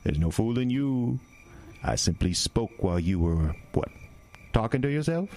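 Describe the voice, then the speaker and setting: A man's voice: on the low side, very animated, normal speed, dark tone. An actor playing a sly, menacing stranger who corners a boy in the woods at night, with teasing, old-fashioned phrasing. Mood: sly menace. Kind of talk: horror audio drama.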